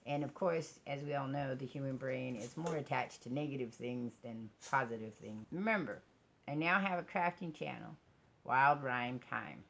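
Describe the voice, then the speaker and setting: Low-voiced American woman vlogging, noisy recording. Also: moderate pace, creaky. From United States. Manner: chatty, digressive, self-deprecating. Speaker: vlogger and crafter. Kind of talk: casual rambling vlog to camera. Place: indoors at a craft table.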